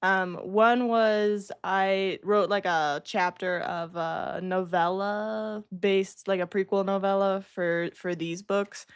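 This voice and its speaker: A woman's voice: medium pitch, fairly even intonation, takes it slowly, few pauses, clean tone, no room sound, clean studio sound. A woman writer chatting casually on a livestream, talkative and self-deprecating, with a giggly way of speaking. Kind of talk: casual chat.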